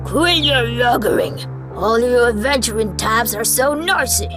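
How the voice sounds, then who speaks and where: A woman's voice: a little high, expressive, unhurried, over music. A woman voicing an ornery, folksy old-timer pony who grumbles and complains in an amateur comic dub. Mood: grumpy. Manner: gruff, complaining.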